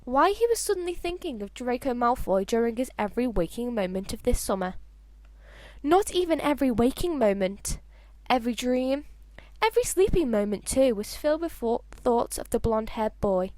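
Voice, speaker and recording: A high-pitched female voice narrates fan fiction in an expressive, homemade audiobook style. The sound is dry and close, and she trips lightly over a word here and there. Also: moderate pace, dry recording.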